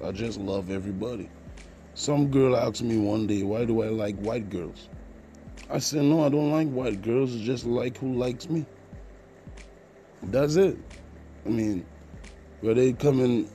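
Low, mellow Caribbean man podcasting. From Trinidad and Tobago, partly Jamaica. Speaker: podcast host. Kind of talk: informal podcast monologue.

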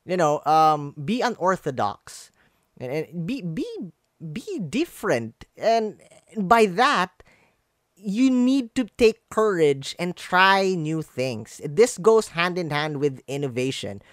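A man's voice: sits high, big pitch swings, slow pace, a bit bright, no echo, clean studio sound. A man from the Philippines with a Filipino accent, speaking English as a second language, giving earnest motivational coaching and repeating phrases for emphasis. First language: not English. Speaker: branding coach, guest speaker. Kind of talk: motivational conversational talk. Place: online video call.

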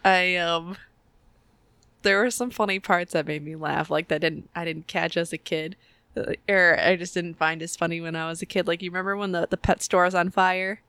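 A woman co-hosting a podcast in casual chat, expressive and given to acting out what she describes. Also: on the low side, moderate pace, clear, resonant tone, no room sound. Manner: expressive, acts out bits with sound effects. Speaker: podcast co-host.

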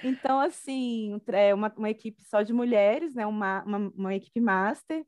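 Brazilian woman from bahia, middle aged, casual guest chat, mid pitch, really dry sound. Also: normal speed, a little mellow, smooth, no room sound. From Salvador, Bahia, Brazil. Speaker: interview guest.

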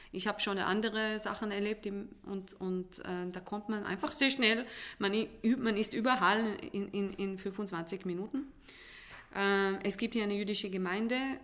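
Woman w/ chatting in an interview, thoughtful, stops and searches for words, medium pitch. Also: fairly bright tone. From Israel. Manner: halting, searching for words in non-native German. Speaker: interview guest, singer. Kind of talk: reflective conversational interview.

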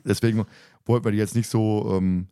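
A man with a fairly low voice talks very quickly as he moderates a casual podcast. He is chatty and teasing, and the sound is dry and close. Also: little pitch movement, dark tone, clean tone, dry recording. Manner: joking, teasing, talkative. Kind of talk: casual podcast chat and announcements.

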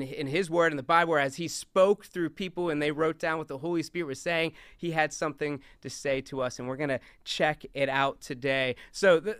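A young man with a big, loud voice preaches from a stage, animated and always in motion. His tone is bright and crisp, slightly higher than most men's. The sound is dry and close. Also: no echo. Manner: animated, talks with hands, moves around on stage. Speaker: youth speaker. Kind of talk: lively stage talk on scripture.

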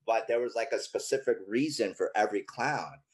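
Chilean-born man telling an animated anecdote. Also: lowish voice, a little fast. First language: Spanish. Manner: animated, profane, heavy use of "like" and "you know". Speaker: actor guest.

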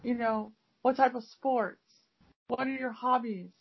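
A woman's voice: on the high side, fairly even intonation, a little slow, creaky, slightly rough. A woman, an English teacher, chatting casually and rambling with many fillers and restarts. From United States. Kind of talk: casual conversation. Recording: dull lo-fi audio.

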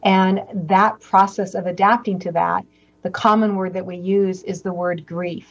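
A woman hosting a video call panel, gently and encouragingly introducing each guest. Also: mid-range voice, fairly even intonation, unhurried, dull audio. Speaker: host. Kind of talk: moderating a panel conversation.

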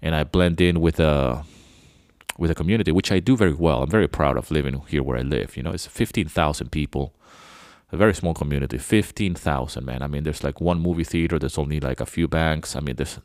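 Very deep-voiced man rambling. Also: fairly quick, a little mellow, dry recording, studio quality. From Venezuela. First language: Spanish. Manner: rambling, loose and chatty. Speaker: podcast host. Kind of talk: casual reflective monologue.

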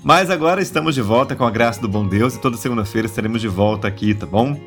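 A man's voice: medium pitch, quick pace, soft background music. A man with a Brazilian accent hosting a casual religious podcast, chatty and full of little tag questions, his voice nasal from a stuffy cold. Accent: Brazilian Portuguese. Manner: chatty, warm asides, many tag questions. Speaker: podcast host.

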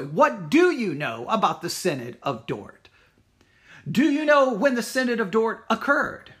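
Emphatic church teacher with a highly animated delivery. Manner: emphatic, challenging, rhetorical questions with repetition for emphasis. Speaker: Bible teacher at a Baptist church. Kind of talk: informal teaching talk to listeners.

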